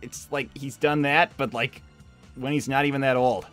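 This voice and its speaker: Bright, slangy teen hedgehog hero voiced by a man. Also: mid-range voice, steady tone, close to an everyday voice. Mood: casual, explaining. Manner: casual, halting, exasperated, slangy. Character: cocky but kind teenage male hedgehog hero. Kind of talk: improvised character roleplay on a livestream. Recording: faint music behind.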